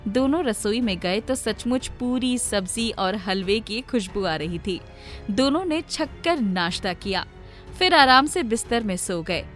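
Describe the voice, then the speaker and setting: Female storyteller, high-pitched, scripted children's narration over light music. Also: expressive, unhurried, a bit bright. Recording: music playing behind the voice.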